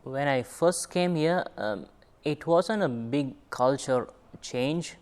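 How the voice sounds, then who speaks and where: A young man who grew up in Sri Lanka, telling his own story in an interview in an unhurried way, often searching for his words. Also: mid-range voice, darkish tone. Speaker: former student interviewee. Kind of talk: reflective personal interview.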